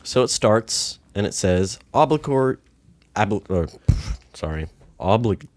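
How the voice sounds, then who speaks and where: A man's voice: lowish voice, lively intonation, slow pace, darkish tone, no echo. A young man co-hosting a casual podcast, laid-back and swearing freely, at times reading a post aloud. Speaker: podcast co-host.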